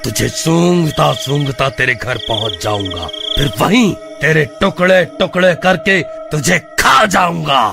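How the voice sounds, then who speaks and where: A man voicing a threatening, commanding male skeleton ghoul in an animated story. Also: fairly high pitch, measured pace, gravelly, hardly any pauses, music in the background. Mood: grudging, threatening. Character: menacing male skeleton ghoul demanding his stolen ring. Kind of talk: Hindi animated moral story acting.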